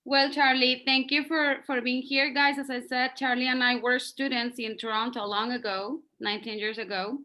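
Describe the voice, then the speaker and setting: Woman, native Spanish speaker, high-ish pitch, sharp bright tone, informal class host on a video call. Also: flat delivery, moderate pace, hardly any pauses. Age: middle-aged. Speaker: teacher hosting a class interview. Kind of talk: informal online class introduction.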